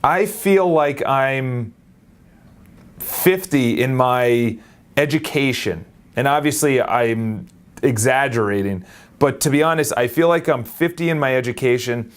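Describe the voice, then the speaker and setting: A young man in his late twenties talks straight into his phone camera in a loose, unscripted vlog. He is energetic and rambling, and he often stops and restarts his sentences. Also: medium pitch. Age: 20s. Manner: energetic, rambling, self-correcting. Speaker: vlogger. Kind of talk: casual talk to camera.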